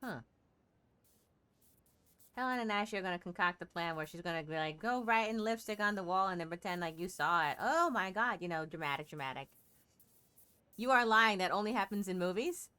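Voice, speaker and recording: A woman's voice: medium pitch, lively intonation, a little fast, long pauses, bright, forceful tone, clean tone. A woman giving casual, chatty reaction commentary while playing a game, with theatrical asides.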